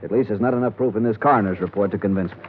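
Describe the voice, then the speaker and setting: Man as a weary, low-voiced insurance executive. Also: racing, a little hiss or hum, lightly acted. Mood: worn, businesslike. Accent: American. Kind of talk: old radio drama acting. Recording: tinny old radio recording.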